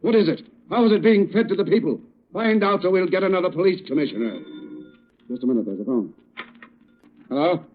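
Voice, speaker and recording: A man's voice: high-pitched, animated, a little fast, pauses often. A man playing a stern, impatient, demanding mayor in a 1930s radio drama. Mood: stern, insistent. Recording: muffled old radio recording.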